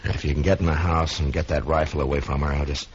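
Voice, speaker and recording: A man's voice: a bass voice, steady tone, fast. A man playing a weary, patient marshal in a radio western, laconic and resigned. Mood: measured. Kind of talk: old-time radio western acting. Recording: dull, noisy lo-fi radio drama recording.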